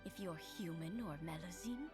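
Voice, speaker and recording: A woman voicing a kindly, perceptive elderly lady in a video game, speaking tenderly and in a measured way. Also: lowish voice, music in the background, close to an everyday voice. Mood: tender, grateful.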